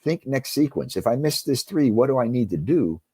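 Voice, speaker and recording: A man's voice: mid-range voice, lively intonation, moderate pace, dark tone, breathy edge, no echo. A man speaking as a coach and interview guest, answering conversationally in an earnest, emphatic way.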